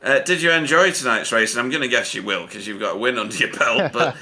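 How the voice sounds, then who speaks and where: Bright-voiced man hosting a race interview. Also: mid-range voice, quick pace. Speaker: sim racing commentator and interviewer. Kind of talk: casual post-race interview.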